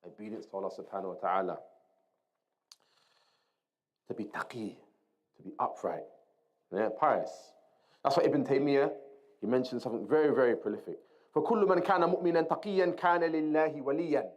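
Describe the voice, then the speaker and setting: A man's voice: medium pitch, rapid-fire, long pauses, a little mellow. Man teaching a religious lesson, repeating key terms. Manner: mixes Arabic quotations into English explanation. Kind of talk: Islamic lesson explaining a text.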